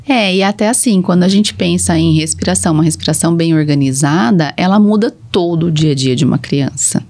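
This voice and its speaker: A woman's voice: fairly low pitch, a little fast, darkish tone. A woman with a Brazilian accent, a health professional guesting on a casual podcast, explaining and agreeing in lists of points. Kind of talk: casual conversational interview.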